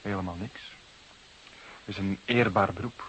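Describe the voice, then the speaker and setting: An actor playing a proud, defiant young criminal with sullen, clipped answers in a Dutch radio drama. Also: male voice, fairly low pitch, steady tone, normal speed, a bit bright, close to an everyday voice. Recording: noisy, clicking old radio drama recording.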